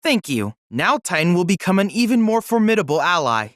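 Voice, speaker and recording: A man's voice: high-pitched, very animated, brisk, a bit bright, dry recording, studio quality. A man voicing a polite, eager teenage boy android research assistant in a video game, speaking in a precise, formal, articulate way. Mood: scholarly explaining. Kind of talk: scripted video game character dialogue.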